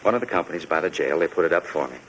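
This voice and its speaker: An actor playing a despairing, volatile forger in a halting, agitated radio drama style. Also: male voice, extremely low pitch, animated, a bit bright, exaggerated voice. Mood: guarded, lonely. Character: despairing, volatile forger cornered at home with his dogs. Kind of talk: 1950s radio drama acting. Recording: noisy old 1950s radio recording.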